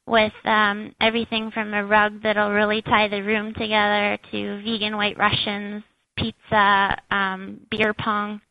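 A woman with a squeaky high voice, a shop owner chatting as an interview guest, with lots of fillers. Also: dry recording, muffled recording. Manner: chatty, many fillers, laughs at herself. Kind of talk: casual interview answers.

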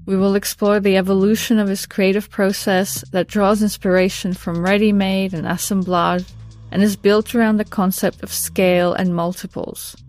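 A woman's voice: medium pitch, little pitch movement, normal speed, a little mellow, faint music behind. A woman hosting a podcast, giving prepared introductions and putting questions to her guest. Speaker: podcast host, interviewer.

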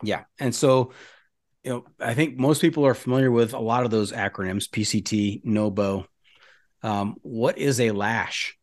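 An American man with a medium-pitched voice hosts a relaxed podcast interview in a dry studio. He puts questions with curiosity and banters casually as he goes. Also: moderate pace, dry recording. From United States. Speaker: podcast host.

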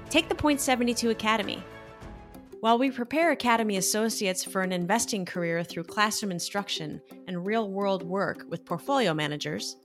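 A woman hosting a podcast, giving a polished, upbeat scripted introduction. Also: medium pitch. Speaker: podcast host and program director. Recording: voice over background music. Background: music.

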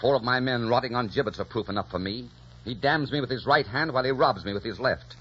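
An actor playing a ruthless, vengeful pirate captain in 1940s radio style, barking orders imperiously. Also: male voice, medium pitch, fast, slightly rough, natural voice. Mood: scornful, vengeful. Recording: dull, noisy 1940s radio recording.

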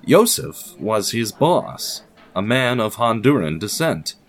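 A man's voice: fairly low pitch, sing-song, slow pace. A man reading scripted narration as the narrator of an audio production.

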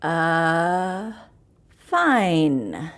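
An actress playing a brisk, demanding woman boss in an audio drama. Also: sits low, big pitch swings, a bit bright, exaggerated voice. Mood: brisk, distracted.

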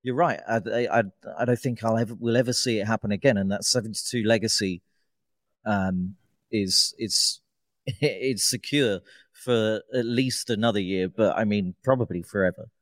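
A man's voice: lowish voice, normal speed, no echo, clean studio sound. A man co-hosting a sports podcast, making emphatic points with repeated words. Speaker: podcast co-host. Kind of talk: casual chat.

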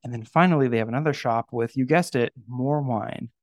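A man's voice: medium pitch, a little fast, no echo. A man hosting a podcast in casual chat, joking and self-deprecating as he talks. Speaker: podcast host.

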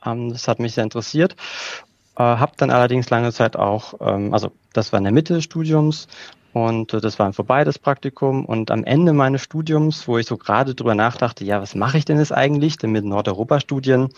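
Gravelly man from Berlin, dry lo-fi sound. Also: mid-range voice, a little mellow, dry recording. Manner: measured, thoughtful, with filler sounds. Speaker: guest, organizational developer. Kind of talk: casual interview.

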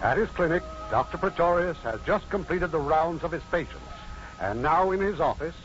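A man's voice: a little high, lively intonation, normal speed, fairly bright tone, faint music behind. A man hosting a 1950s radio theater, reading scripted introductions and narration in a formal, declamatory showman's manner. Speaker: producer and host introducing a radio play. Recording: muffled 1950s radio broadcast recording.

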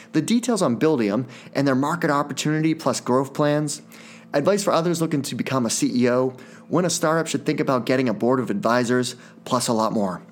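A man hosting a tech podcast, reading a prepared intro and ad, then chatting with his guest in a friendly way. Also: medium pitch. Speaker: podcast host. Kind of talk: scripted intro then conversational interviewing.

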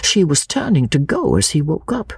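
A woman voicing an earnest, obstinate young man in love in an audiobook, speaking in hushed, fervent phrases. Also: sits low, wildly swooping pitch, normal speed, husky, dry recording, voice pushed up, heavily acted. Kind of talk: dramatic audiobook character reading.

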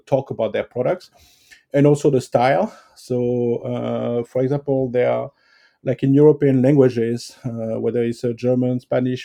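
A man with a French accent answering interview questions, pausing often with fillers and restarts. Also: medium pitch, dark tone. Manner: hesitant, many fillers and restarts. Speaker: guest expert.

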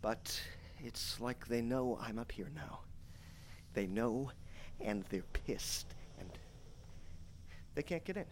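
An actor playing a starving, trapped male survivor in an audio horror drama, desperate and darkly joking, talking in a halting, stammering way between murmurs and strained yells. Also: mid-range voice, expressive. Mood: shaken, resigned. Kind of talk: live audio horror drama, recorded diary monologue. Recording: clearly noisy live stage recording.

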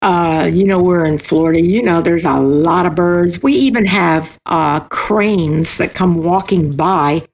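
A woman's voice: fairly low pitch, measured pace, gravelly, few pauses. Older American woman chatting as interview guest. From United States. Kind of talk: casual chat.

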